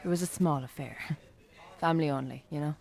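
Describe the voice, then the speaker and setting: A woman acting as a composed, bookish Irish shop worker. She has a slightly low voice and a friendly, natural delivery suited to a radio play. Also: a little fast, creaky, a little mellow. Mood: subdued, candid.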